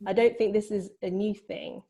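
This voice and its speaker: A woman's voice: mid-range voice, fairly even intonation, normal speed, warm tone. A woman from Vietnam who grew up in Britain, speaking informally and reflectively on a panel, often hedging her words. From born in Vietnam, grew up in Britain. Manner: hedging, frequent "you know" and "kind of". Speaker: film producer on a panel.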